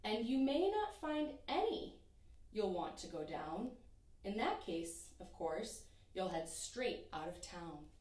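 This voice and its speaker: A young woman teacher reading a rhyming children's picture book aloud in an expressive, sing-song way. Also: mid-range voice, slow, distant mic. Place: small room in front of a painted backdrop. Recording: echoey room, voice from off-mic.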